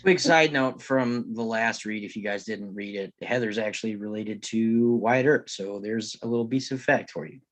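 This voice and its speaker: A man's voice: fairly low pitch, moderate pace, hardly any pauses. A man chatting casually in a jokey manner as a cast member. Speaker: actor in a table read. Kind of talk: casual chat.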